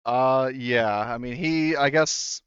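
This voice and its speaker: A man runs a tabletop game with a smooth, clean voice. He narrates casually, halting and rambling as he improvises. The sound is dry and a little lo-fi. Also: medium pitch, no echo. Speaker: game master.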